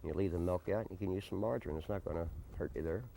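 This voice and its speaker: An American man answering interview questions in a deliberate, plain-spoken way with folksy turns of phrase. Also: deep voice, gravelly, mellow. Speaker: interviewee, desert camp resident. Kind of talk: unscripted documentary interview answers. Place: informal desert camp on location. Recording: noisy early 1990s field video recording.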